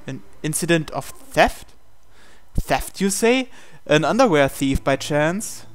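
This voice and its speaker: A man reading visual novel dialogue aloud in character, with a hesitant, halting delivery. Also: a little high, animated, clean tone. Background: game music.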